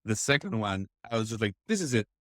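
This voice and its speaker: A man's voice: lowish voice, quick pace, no echo. Enthusiastic man telling stories, dry sound. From Texas. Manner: enthusiastic, expressive storyteller. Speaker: podcast guest. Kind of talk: casual interview storytelling.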